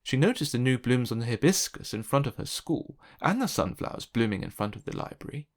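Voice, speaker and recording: Guy reading a kids picture book out loud, storytime narration, mid voice. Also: medium pitch, very animated, a little fast. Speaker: children's book reader.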